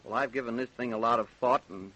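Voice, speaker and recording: An American actor, a man, playing an even-tempered, probing coroner in an old-time radio drama, speaking in a deliberate, official way. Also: medium pitch, rough recording. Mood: calm, persuasive. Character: probing, even-tempered male small-town coroner investigating deaths.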